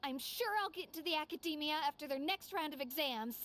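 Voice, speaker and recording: A woman's voice: high voice, quick pace, fairly bright tone, slight background noise. A woman voicing an earnest, polite young female student in a game.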